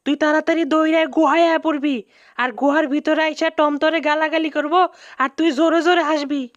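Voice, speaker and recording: A performer voicing a bossy, boastful, easily panicked cartoon mouse uncle in colloquial Bangladeshi speech, excitable and repetitive. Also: sits high, fairly quick, no room sound, muffled recording, close to an everyday voice. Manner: excitable, repeats words when panicking. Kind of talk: comic cartoon dub.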